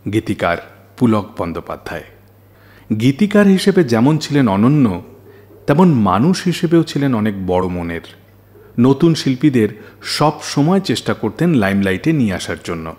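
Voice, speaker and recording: A man's voice: medium pitch, very animated, normal speed, a little mellow. A man hosting a radio show, telling scripted stories in a dramatic way and putting questions to listeners.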